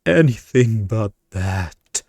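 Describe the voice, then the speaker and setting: Male narrator as a young lady in a vampire romance, mischievous under duress, unhurried and clear, very dry close sound. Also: on the low side, lively intonation, a little mellow, no room sound, full character voice. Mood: pleading.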